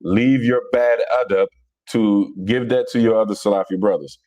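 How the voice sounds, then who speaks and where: Male livestream debate host, Philadelphia accent, lowish pitch, colloquial and needling delivery, dry sound. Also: fairly even intonation, normal speed, dark tone, no room sound. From Philadelphia, United States. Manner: colloquial, blunt, mocking. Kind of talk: casual live religious debate, answering viewer comments.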